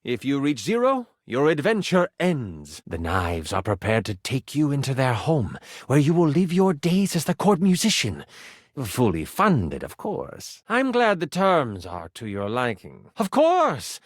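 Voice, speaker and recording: An actor voicing a living twenty-sided die, an eager, playful game master who narrates a tabletop adventure theatrically and expressively. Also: male voice, mid-range voice, unhurried, no echo, clean studio sound. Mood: playful, amused. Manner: dramatic storytelling, expressive, playful.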